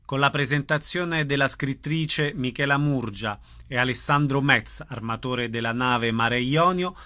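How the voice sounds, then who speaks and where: A man hosting a radio programme, announcing scripted listings in a clearly enunciated presenter delivery. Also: medium pitch, measured pace, sharp tone. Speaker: radio host.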